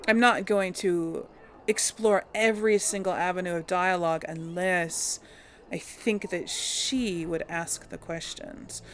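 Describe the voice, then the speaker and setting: A woman's voice: on the low side, steady tone, measured pace. A woman hosting a casual role-play game playthrough, thinking aloud in a rambling way. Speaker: let's play host.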